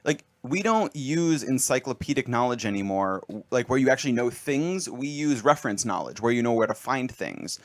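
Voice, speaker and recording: A man's voice: mid-range voice, expressive, normal speed, few pauses. A man co-hosting a casual radio talk show, explaining news with a wry, riffing manner. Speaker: co-host.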